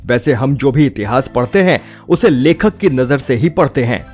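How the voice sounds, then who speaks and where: A man's voice: mid-range voice, expressive, normal speed, darkish tone. A man narrates a scripted film review with emphatic, rhetorical phrasing. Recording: music bed under the voice. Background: background music.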